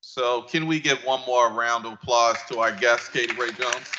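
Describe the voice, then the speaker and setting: A man's voice: mid-range voice, steady tone, moderate pace, sharp tone, lo-fi sound, a little hiss or hum. A man hosting a university event from a podium microphone in a lecture hall, speaking hesitantly and repeating words.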